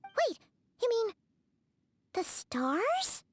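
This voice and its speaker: A woman voicing a small, childlike, excitable fairy companion in a video game, squeaky and bubbly. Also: very slow, heavily acted. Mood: startled, unsure. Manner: bubbly, exclaiming, speaks of herself in third person.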